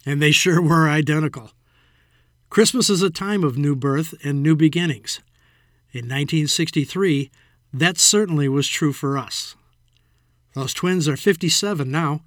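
A man's voice: a little high, no echo, studio quality. Older American man reading a personal story. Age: 60s. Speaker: storyteller reading own memoir. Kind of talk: scripted personal narration.

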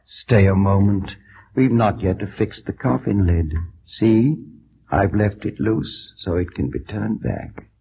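A dull, noisy old radio recording carries a morbid man crazed by grief. He speaks slowly in a high-strung theatrical style, moving between breathy hush and feverish intensity, like the doomed heir of a horror play. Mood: mournful, tender. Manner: theatrical, swinging from hushed whispers to feverish outbursts. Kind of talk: 1940s-50s radio horror drama acting.

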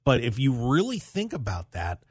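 An American man hosting a sports talk radio show, telling stories in an animated way with emphatic repetition. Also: mid-range voice, normal speed, husky, dry recording, studio quality.

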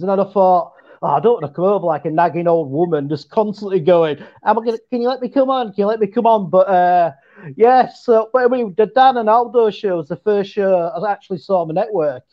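Casting: man with a West Yorkshire accent, high voice, chatty guest, dull lo-fi call audio. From West Yorkshire, England. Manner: chatty, stumbles and restarts, quick bursts. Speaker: podcast guest. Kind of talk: casual chat.

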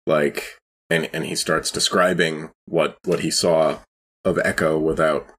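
A man playing a tabletop game, casually and tentatively describing what his character says, with halting phrasing. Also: extremely low pitch, fairly even intonation, fairly bright tone, slight background noise.

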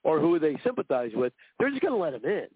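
A man's voice: a little high, sing-song, quick pace, husky, no room sound. A man calling in to a radio show, chatting casually and rambling, often repeating words as he talks. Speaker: caller. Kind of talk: casual phone-in chat. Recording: dry, tinny phone line.

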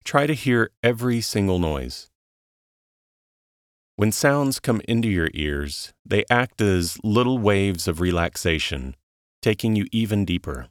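Low voiced guy doing a calm meditation narration, really slow, super dry close sound. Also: dry recording. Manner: calm, soothing, measured instruction with long pauses. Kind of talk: slow guided relaxation narration.

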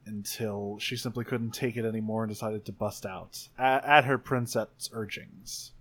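A man improvising as a player in a tabletop roleplaying game, deliberate and pausing mid-sentence to think. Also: lowish voice, fairly even intonation, a bit bright.

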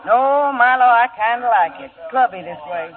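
Folksy talkative woman bartender, played by an actress, bright and high, thin lo-fi radio. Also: expressive, normal speed, crowd noise, lightly acted. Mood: chatty. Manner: folksy, chatty, teasing. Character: folksy chatty middle-aged female bartender, former teacher. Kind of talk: 1950s radio drama acting. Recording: tinny lo-fi old radio broadcast.

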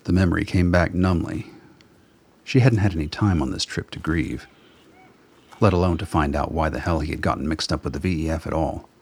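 A man's voice: very deep voice, brisk, darkish tone, breathy edge. A man narrating a scripted story for a radio play.

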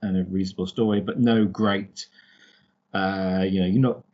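Halting low-voiced man, slightly husky. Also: fairly even intonation, muffled recording. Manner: halting, repeats words while thinking. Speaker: podcast co-host. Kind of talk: casual book discussion.

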